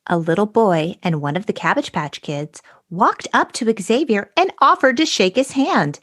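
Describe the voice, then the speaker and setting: Expressive storybook narrator voiced by a woman. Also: fairly high pitch. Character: wide-eyed storybook narrator full of wonder. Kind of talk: dramatic storybook reading.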